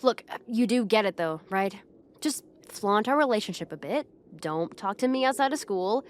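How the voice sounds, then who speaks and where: A young woman acting a proud, bossy popular high school girl who gets flustered, in a roleplay audio addressed to the listener. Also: animated, moderate pace, lightly acted. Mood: brisk, bossy. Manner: haughty, bossy, flustered hesitations.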